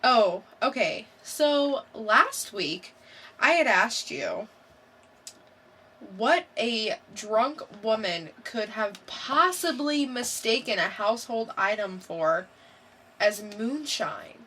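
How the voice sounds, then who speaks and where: Bright-voiced, slow-paced woman podcaster. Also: fairly high pitch, lively intonation, a little hiss or hum. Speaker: podcast co-host. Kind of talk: casual quiz banter.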